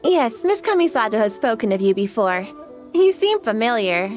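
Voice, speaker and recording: A woman's voice: fairly high pitch, very animated, darkish tone, soft background music. A woman voicing a polite, dutiful young shrine maiden who speaks formally. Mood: composed. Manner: formal, courteous, measured. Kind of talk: game voice acting.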